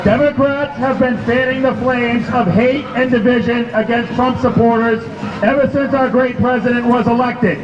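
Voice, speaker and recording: A man delivering a booming, declamatory speech to a crowd at an outdoor rally. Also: fairly even intonation, moderate pace, highs cut off, music in the background. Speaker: rally speaker. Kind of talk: speech through a loudspeaker to a crowd. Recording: echoey and distant with crowd noise.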